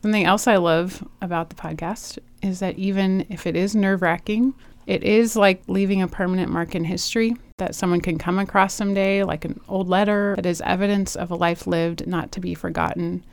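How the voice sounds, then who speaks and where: A woman hosting a faith podcast interview, warmly affirming her guest and reading prepared words. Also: fairly low pitch, fairly even intonation, fairly quick, gravelly, hardly any pauses. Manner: warm, affirming, reads prepared tribute. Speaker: podcast host.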